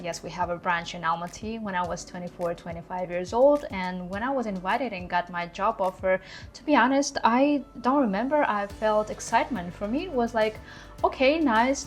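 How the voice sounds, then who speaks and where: A woman in her thirties with a Kazakh accent talks through a personal vlog in English, her second language. Her delivery is earnest and reflective, in a mid-range voice. Faint music plays beneath her. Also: medium pitch. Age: 30s. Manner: earnest, reflective, occasionally laughing. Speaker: vlogger.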